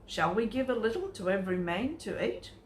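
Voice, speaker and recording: A woman's voice: medium pitch, very animated, brisk, natural voice. Woman voicing a male disciple, practical, doubtful, questioning, Bible storybook, echoey recording, faint noise. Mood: concerned, questioning.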